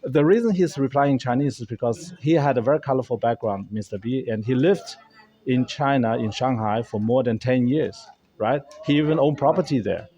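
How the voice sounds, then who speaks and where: German-native male host, mid-range pitch, warm tone, casual earnest explainer. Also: moderate pace. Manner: earnest, explanatory, with many restarts. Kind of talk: casual talk to camera. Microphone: camera held by a friend.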